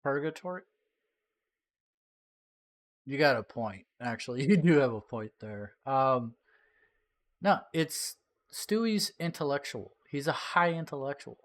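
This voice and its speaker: A man's voice: medium pitch, expressive, a little slow, pauses often. Rambling man giving casual reaction commentary. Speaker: reaction streamer.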